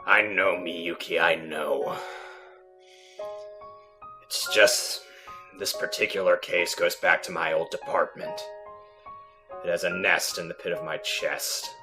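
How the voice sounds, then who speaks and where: An actor playing a brooding, sarcastic detective with a short temper and a gravelly voice, in a radio drama. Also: male voice, deep voice, steady tone, takes it slowly, pauses often, over music. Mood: subdued, intimate.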